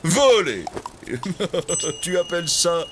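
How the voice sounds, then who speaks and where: Haughty high-pitched cartoon eagle. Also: male voice, big pitch swings, very slow, full character voice. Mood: boastful, scornful. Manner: pompous, mocking, condescending. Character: proud, boastful, haughty male eagle. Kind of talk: children's cartoon acting. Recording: music behind the voice.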